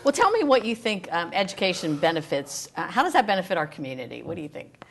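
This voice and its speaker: A woman's voice: fairly low pitch, sing-song, quick pace, vocal fry, a little hiss or hum. A woman hosting a local TV health program, interviewing guests in an upbeat, encouraging way. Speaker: TV health show host.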